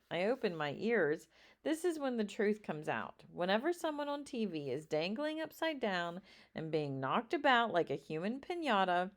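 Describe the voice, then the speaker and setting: A woman reading a children's story aloud expressively, with dramatic drawn-out emphasis. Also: on the low side. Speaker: storybook reader.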